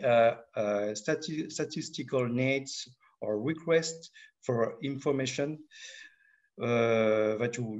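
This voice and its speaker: A man giving a webinar presentation on market statistics. He speaks haltingly and fills nearly every pause with "uh". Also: medium pitch. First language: French. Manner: halting, with constant filler pauses. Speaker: market analysis director presenting.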